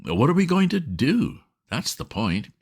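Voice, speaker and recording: A man voicing a flustered, excitable young gentleman who bursts out in indignant exclamations in a storybook reading. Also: mid-range voice, pitched above the performer's own voice. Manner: exclamatory, indignant, rapid outbursts.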